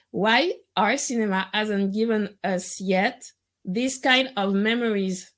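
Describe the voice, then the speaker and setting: A woman moderating a video-call film panel, in an unhurried, conversational way. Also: medium pitch, fairly bright tone.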